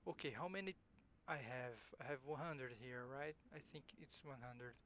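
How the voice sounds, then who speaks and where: A man's voice: medium pitch, a bit bright, a little hiss or hum. A man whose first language is Portuguese, thinking aloud hesitantly while playing a strategy game. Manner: slow, musing, hesitant, self-correcting. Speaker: game streamer.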